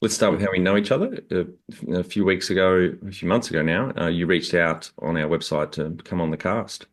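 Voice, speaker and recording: A man's voice: low-pitched, a little fast. A man with an Australian accent hosting a business podcast, upbeat and direct as he asks questions. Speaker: podcast host. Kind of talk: interview host, scripted intro.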